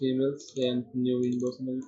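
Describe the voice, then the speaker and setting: A man's voice: mid-range voice, completely flat delivery, extremely slow, dark tone. Man talking through code, lo-fi sound. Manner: thinks aloud while typing, mixes English terms. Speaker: programming tutor. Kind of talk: screen-recorded coding tutorial. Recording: dull lo-fi sound.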